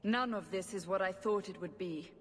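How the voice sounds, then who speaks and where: A woman's voice: mid-range voice, normal speed, long reverb tail, close to an everyday voice. An actress playing a stern, earnest woman investigator in a video game, questioning in a probing manner. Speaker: interrogator. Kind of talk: video game cutscene acting.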